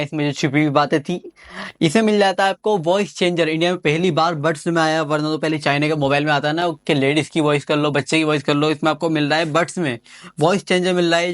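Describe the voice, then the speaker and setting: A man reviews gadgets in a casual, chatty unboxing style. His voice sits a little high and the sound is fairly dry. He talks informally and with enthusiasm, circling back over his phrases. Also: fairly even intonation, fast talker, no echo.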